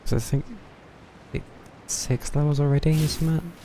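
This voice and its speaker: A man's voice: fairly high pitch, fairly even intonation, normal speed, dark tone, a little hiss or hum. A man giving casual gameplay commentary on a livestream, muttering hesitantly as he thinks aloud, with game music behind him. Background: video game music.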